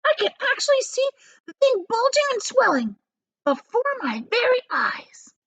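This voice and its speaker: A woman voicing a shrill, excitable, bossy storybook villain aunt who exclaims in shrieks. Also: normal speed, heavily acted, rough recording. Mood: shrill excitement.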